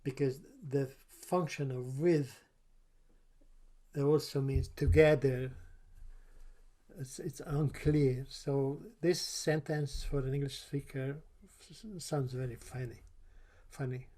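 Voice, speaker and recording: An older man with a Hungarian accent teaches over a video call, his voice dark and mellow at an ordinary middle pitch. He stumbles and repeats words as he works through his slides, pausing on fillers. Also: level tone. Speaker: professor giving a webinar lecture. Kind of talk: online lecture over slides.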